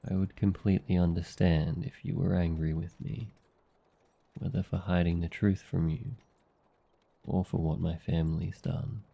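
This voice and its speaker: Man acting a low, creaky, devoted demon boyfriend. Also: flat delivery, dark tone, full character voice. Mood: apologetic, tender. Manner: tender, earnest, unhurried. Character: devoted, gentle male demon familiar, noble-born boyfriend. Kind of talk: boyfriend ASMR roleplay.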